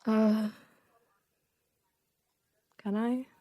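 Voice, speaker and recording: A woman's voice: fairly high pitch, level tone, very slow, mellow, no echo. A woman chatting casually and informally, a bit hesitant, as a guest on a radio comedy show. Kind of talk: casual chat and joke reading. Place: small radio studio.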